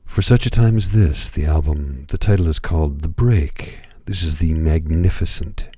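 A hoarse man hosting a radio music show, talking calmly with many pauses and hesitations. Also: extremely low pitch, no echo, studio quality. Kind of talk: slow, calm radio presentation.

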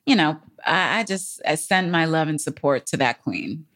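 A woman's voice: low voice, expressive, normal speed, a bit bright. Woman podcast co-host, casual and emphatic. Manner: slangy, emphatic. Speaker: podcast co-host and comedy writer.

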